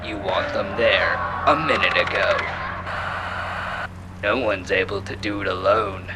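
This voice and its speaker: A man's voice: low voice, flat delivery, measured pace, over loud music, rough recording. An actor playing an ominous man who gives cryptic, portentous warnings in a noir audio drama. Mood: grave, foreboding.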